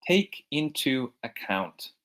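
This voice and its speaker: Guy from ireland who sounds american, english teacher going over vocab, says words slow n clear. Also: medium pitch, big pitch swings, fairly bright tone. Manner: repeats words slowly and clearly for learners. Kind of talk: recorded vocabulary lesson.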